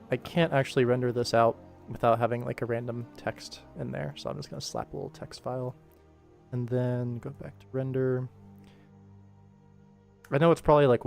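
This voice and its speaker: Male streamer, mid-pitched voice, informal work commentary, thinking aloud, music in background. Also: vocal fry, a little mellow. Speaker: animation production streamer. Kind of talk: casual livestream work commentary.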